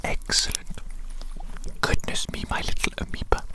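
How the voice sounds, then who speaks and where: A performer playing an eccentric, doting evil doctor in a whispered ASMR roleplay, breathy and playful, repeating phrases soothingly. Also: normal speed, close to an everyday voice. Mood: encouraging.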